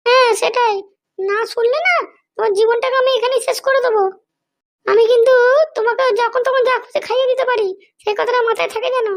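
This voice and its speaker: A scheming madam from a comedy cartoon, voiced by an actor, squeaky and extremely high like a child's voice. The talk is quick, bossy and threatening, full of ruthless self-justifying ambition. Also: fairly even intonation. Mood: threatening, impatient. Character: scheming, power-hungry madam, ruthless mother seeking political power.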